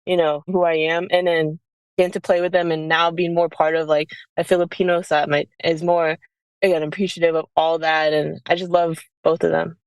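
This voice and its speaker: A woman's voice: deep voice, flat delivery, brisk, clean tone, dry recording. A woman in her early twenties from the United States, chatting casually as an interview guest, peppering her talk with "like" and "you know". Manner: informal, frequent "like" and "you know". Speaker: college basketball player, interview guest.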